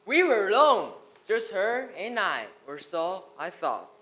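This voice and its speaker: Forceful, animated female stage narrator. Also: medium pitch, slow pace. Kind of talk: dramatic readers theater narration.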